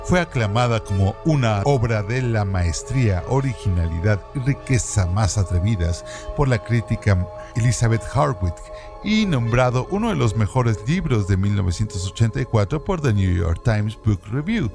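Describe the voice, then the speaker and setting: A man presenting a radio program, reading literary trivia from a script in a measured, even way. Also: fairly low pitch, creaky. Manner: measured, reads evenly from a script. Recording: music bed under voice. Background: background music.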